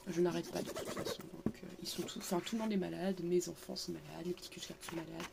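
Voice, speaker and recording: A middle-aged woman with a cold talks through a craft tutorial in her living room, filling gaps with little set phrases. Her voice is gravelly and stuffy, and there is steady noise behind her on the recording. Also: lowish voice, quick pace. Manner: chatty, repeats "tout simplement" and "voilà", many fillers. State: congested with a cold. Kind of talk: casual craft tutorial narration.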